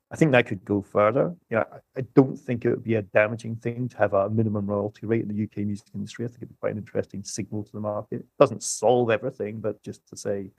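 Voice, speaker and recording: A man's voice: medium pitch, vocal fry, a little mellow, husky, dry recording. A man with a British accent explaining his argument as an economist guest on a podcast, emphatic and rhetorical, laying out structured points.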